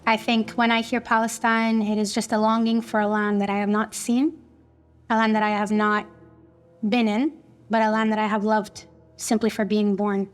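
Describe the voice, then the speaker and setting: A woman's voice: mid-range voice, flat delivery, moderate pace, soft background music. A young woman speaking in a thoughtful, hesitant way in a reflective documentary interview. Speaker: daughter of the founder.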